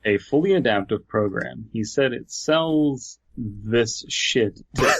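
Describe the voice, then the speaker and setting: A man's voice: medium pitch, animated, slow, lo-fi sound. A man co-hosting a podcast, casually reading an online horror story aloud and commenting on it. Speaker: podcast co-host.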